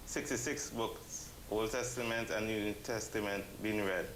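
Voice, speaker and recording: A man answering as an interview guest, halting and phrase by phrase. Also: fairly low pitch, fairly even intonation, a bit bright. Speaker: interview guest, event committee member. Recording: roomy echo, faint ambient noise.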